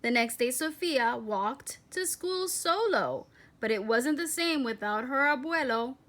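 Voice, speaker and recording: A woman reading a children's picture book aloud as a storyteller, with expressive, deliberate phrasing and dramatic emphasis. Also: high-pitched.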